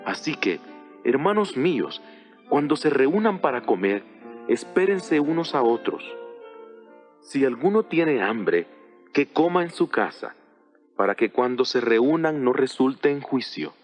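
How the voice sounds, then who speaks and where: A man playing an earnest apostle instructing his church in a solemn, measured, rhetorical dramatized audio Bible reading. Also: mid-range voice, very animated. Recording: voice over background music. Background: music.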